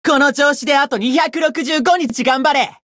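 A woman voicing a cocky, bratty young demon who boasts pompously in rough slang, in a Japanese game character style. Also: high-pitched, moderate pace, a bit bright, no room sound, studio quality. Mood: boastful, gleeful.

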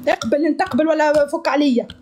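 A young woman with a high voice talks quickly into a phone held at arm's length, teasing her guests and hamming up mock outrage. A faint hiss sits under her voice in a small indoor room. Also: darkish tone. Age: 20s. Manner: playful, mock-pious teasing, switching dialects. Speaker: live-stream host. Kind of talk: teasing live-stream chat.

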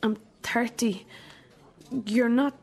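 An actress playing a thirty-year-old Irish daughter in a radio drama, direct and questioning, with a wounded resentment. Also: female voice, on the high side, steady tone, slow, darkish tone. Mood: wounded, resentful. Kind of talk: naturalistic radio drama acting.

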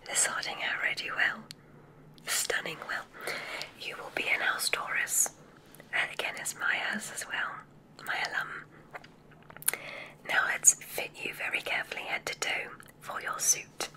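Slow whispering witch, played by a woman. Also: close to an everyday voice, rough recording. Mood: soothing, pleased. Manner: gentle, encouraging, unhurried. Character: kindly witch examiner at a magic school, soothing. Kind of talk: whispered ASMR roleplay.

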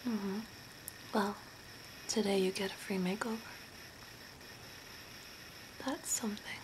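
A young woman playing an eerie, courteous zombie in a slow, deliberate, breathy ASMR whisper. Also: medium pitch, fairly even intonation, natural voice. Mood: softly intrigued. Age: young adult. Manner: slow, deliberate, softly spooky. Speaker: ASMR role-play performer. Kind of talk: whispered ASMR role play. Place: small room indoors by a curtained window. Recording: clear background noise, ambient hiss.

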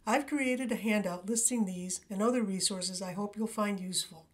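A woman reading a scripted voice-over about nature in a gentle, measured storytelling manner. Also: mid-range voice. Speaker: presenter of a backyard birding video for a library.

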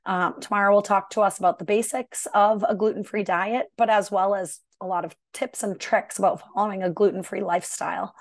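A woman gastroenterologist with a raspy, hoarse voice, explaining a medical topic in a casual, conversational webinar talk over a video call. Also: mid-range voice, dry recording. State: hoarse, clearing throat.

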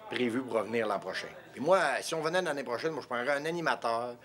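A man's voice: medium pitch, animated, fast, a bit bright. A man with a Québécois accent, a comedian joking casually in a backstage interview. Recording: noisy backstage recording.

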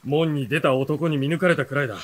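An actor playing an observant young companion who narrates an adventure in a dramatic, scripted radio style. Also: male voice, on the high side, fairly even intonation, fast, dry recording, lightly acted. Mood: wary.

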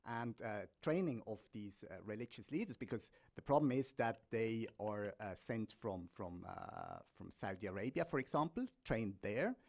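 Halting male panelist in a hall. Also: mid-range voice, darkish tone. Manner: halting, many fillers and restarts. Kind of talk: panel discussion answer. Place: stage of a large conference hall.

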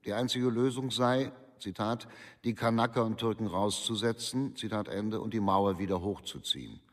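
An actor playing a formal official who reads out a record in a detached, deliberate way in a radio play. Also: male voice, lowish voice, natural voice. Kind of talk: scripted reading of a record. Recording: long hall reverb.

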